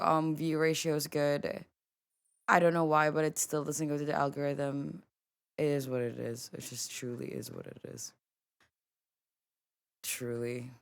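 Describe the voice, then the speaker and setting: Low-voiced woman streamer, casual chat. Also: clear, resonant tone, no room sound. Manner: dramatic, swears, laughs, mopes.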